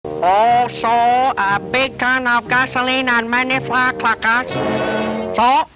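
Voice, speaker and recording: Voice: mid-range voice, steady tone, takes it slowly. A performer voicing a mock-foreign caricature in a 1940s wartime cartoon. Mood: mock-solemn. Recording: muffled old cartoon soundtrack, loud music.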